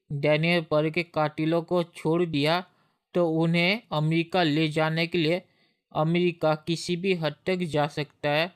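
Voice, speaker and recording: A man delivering steady, scripted commentary for an online video channel, explaining in a measured way. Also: a little high, clean tone. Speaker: YouTube commentator.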